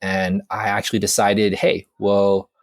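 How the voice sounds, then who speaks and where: A man's voice: on the low side, flat delivery, a little slow, no room sound, studio quality. A man in his twenties from Hawaii, a guest on an interview podcast, talking casually in a rambling, self-deprecating way with lots of fillers. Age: 20s. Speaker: guest, mindset coach.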